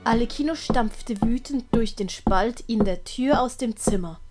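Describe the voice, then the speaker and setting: A woman's voice: on the high side, a little slow, loud music behind the voice. A woman narrating a scripted children's audiobook as its storyteller.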